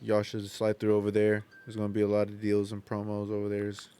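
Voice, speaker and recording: A man's voice: lowish voice, monotone, quick pace, mellow, clear, resonant tone. A man co-hosting a podcast in casual, slangy chat, repeating his phrases and swearing now and then. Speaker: podcast host.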